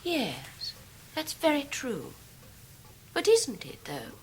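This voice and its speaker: An actress playing a sharp gentlewoman of about thirty with an English accent, insinuating and falsely innocent, in a radio drama. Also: high-pitched, huge pitch swings, slow, heavily acted. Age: 30s. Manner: falsely innocent, probing, archly emphatic questioning. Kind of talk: 1990s radio drama acting.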